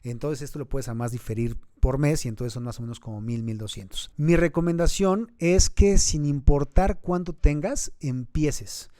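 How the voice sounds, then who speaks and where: A Mexican man in his thirties with a mellow, dark voice, explaining money matters in an earnest, advising tone. He pushes up to emphatic points now and then. The sound is dry and close. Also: on the high side, normal speed, hardly any pauses, dry recording. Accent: Mexican Spanish. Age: 30s. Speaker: financial advisor, host. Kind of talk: explanatory interview talk.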